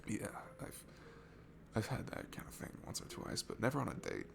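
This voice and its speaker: A man playing a sweet, nervous young guy at a bar in an intimate ASMR roleplay, talking in a halting, earnest, awkward way. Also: fairly low pitch, huge pitch swings, gravelly, background noise, heavily acted. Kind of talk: ASMR roleplay audio, casual intimate chat. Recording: music behind the voice. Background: music.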